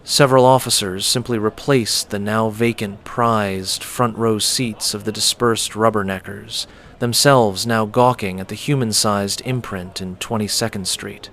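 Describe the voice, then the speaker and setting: A man reading a dramatic scripted story as a storyteller, with deliberate, theatrical phrasing. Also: on the low side, steady tone, a bit bright. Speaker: storyteller and host.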